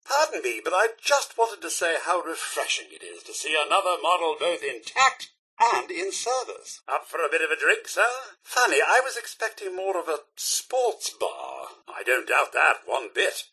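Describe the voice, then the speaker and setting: A British robot butler from a video game, prim, fussy and devotedly polite. His pitch swoops dramatically from line to line. The tone is bright and sharp, with a rasp at the edges. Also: big pitch swings, normal speed, exaggerated voice. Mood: genteel. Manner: prim, formal, theatrically courteous. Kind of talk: video game character dialogue.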